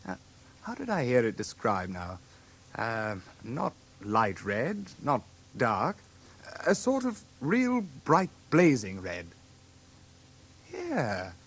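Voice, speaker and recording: Obsequious shop assistant, husky voice, lively intonation, coaxing deferential pauses, radio drama. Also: male voice, mid-range voice, exaggerated voice. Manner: obsequious, coaxing, pausing for effect. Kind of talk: British radio drama acting.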